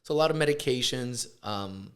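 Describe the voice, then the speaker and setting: A man from Puerto Rico, a chiropractor, chatting casually in an interview, relaxed and hesitant with many fillers. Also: medium pitch, normal speed. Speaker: chiropractor, guest.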